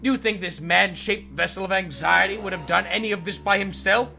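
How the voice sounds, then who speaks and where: Pompous male psychiatrist, played by a man, middle-aged, forceful and gravelly, grand diction, comedy fandub with music behind. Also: high voice, a little fast, exaggerated voice. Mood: boastful outrage. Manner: haughty, theatrical, ornate diction. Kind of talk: comic fandub acting.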